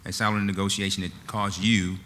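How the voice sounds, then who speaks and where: A low-voiced man asks the panel a quick, direct question from the audience. His words come fast and to the point. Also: flat delivery, sharp tone. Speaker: university audience member. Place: conference hall.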